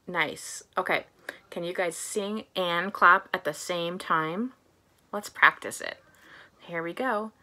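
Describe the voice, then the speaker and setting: A woman's voice: fairly low pitch, slow pace, pushed and bright. A young adult woman presenting a storytime for small children as a librarian, speaking to them in simple, encouraging phrases with lots of questions.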